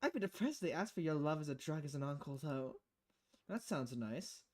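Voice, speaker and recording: A dry-humored female bartender in a visual novel, read out by a woman. She speaks in a lowered, subdued register with a deadpan, slightly hesitant manner, low-key throughout. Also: brisk.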